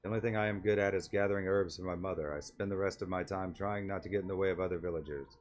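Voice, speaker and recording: Man reading as a low-voiced, meek wolf-man villager. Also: steady tone, racing, natural voice. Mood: resigned, modest. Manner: self-deprecating, stiffly formal wording. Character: meek, self-doubting young male wolf-like villager, formal and resigned.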